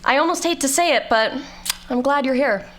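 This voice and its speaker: Girl playing a sarcastic proud scientist in an audio drama, high voice, dry delivery. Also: a little fast, fairly bright tone. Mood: snarky. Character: snarky female scientist, proud and dryly sarcastic.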